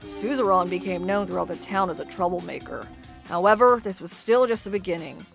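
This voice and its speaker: A woman's voice: fairly low pitch, fairly quick, vocal fry. A woman voicing a friendly, ordinary young wife who tells her story expressively in a manga dub, over background music. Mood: storytelling. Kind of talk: scripted manga dub narration.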